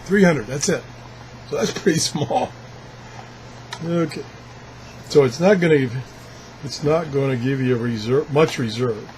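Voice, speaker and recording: A man giving a casual, unscripted product demonstration as a video blogger, thinking aloud haltingly as he handles things. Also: on the high side, a little mellow, slight background noise, low-quality recording. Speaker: video blogger reviewing gadgets.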